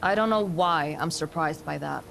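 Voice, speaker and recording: A woman's voice: low-pitched, moderate pace, gravelly, slight background noise. An actress playing a practical, skeptical young woman warrior in a video game, terse and dry. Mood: puzzled, impatient. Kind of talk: video game cutscene acting. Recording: loud game score behind. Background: music.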